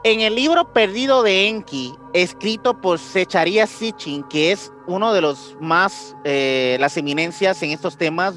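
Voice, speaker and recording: A man's voice: sits high, unhurried, hardly any pauses, fairly bright tone, soft background music. A man with a Mexican accent hosting a podcast, telling a story casually in slangy speech and often searching for words. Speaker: podcast host.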